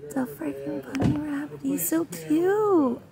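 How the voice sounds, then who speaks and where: A woman's voice: sits high, a little slow, creaky, a crowd in the background. A young woman in her twenties, vlogging casually to the camera held at arm's length, chatty and swearing casually. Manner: chatty, swears casually, coos softly at animals. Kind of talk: casual vlog chat. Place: indoors in a bedroom, later in a car. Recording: loud background noise.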